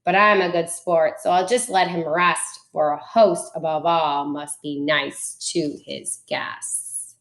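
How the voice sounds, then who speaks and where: Big-hearted storybook moose played by a woman: polite, obliging, put-upon, slow-paced. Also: sits low, fairly even intonation, fairly bright tone, full character voice. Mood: grumbling resignation. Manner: polite, accommodating.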